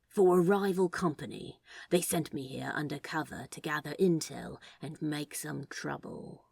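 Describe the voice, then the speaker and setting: Woman doing a sly flirty office guy for an audiobook, drawly and conspiratorial, low voice, dry close sound. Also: a little slow, gravelly, darkish tone, breathy edge, dry recording, full character voice. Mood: secretive. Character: flirtatious sly man, laid-back office worker playing spy.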